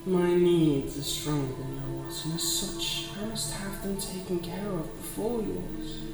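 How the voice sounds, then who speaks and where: A man voicing a possessive, seductive demon who speaks in measured, formal, condescending phrases in an ASMR roleplay. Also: on the high side, lightly acted. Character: possessive, seductive male demon hungry for hearts. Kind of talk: scripted ASMR roleplay addressed to the listener. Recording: very echoey, distant, music behind.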